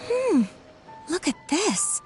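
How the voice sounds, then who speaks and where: A woman's voice: very high voice, sing-song, slow, over music, full character voice. An actress playing a curious townswoman gossiping in a video game dub. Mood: surprised, chatty.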